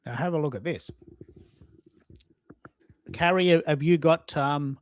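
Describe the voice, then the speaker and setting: A man with a fairly high-pitched voice hosts a casual live audio show. The sound is dry, with no room echo. He thinks aloud, stumbles and repeats phrases while speaking to his listeners. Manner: halting, repeats himself, talks to listeners.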